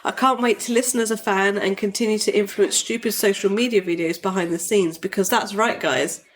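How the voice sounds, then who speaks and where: A woman's voice: mid-range voice, fairly even intonation, brisk. Woman, congested from a cold, dry self-mocking humour, podcast co-host. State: bunged up with a cold. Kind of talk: casual podcast chat.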